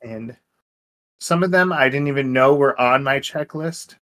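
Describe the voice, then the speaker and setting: A man's voice: mid-range voice, unhurried, fairly bright tone. Wry man in casual podcast chat. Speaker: podcast co-host.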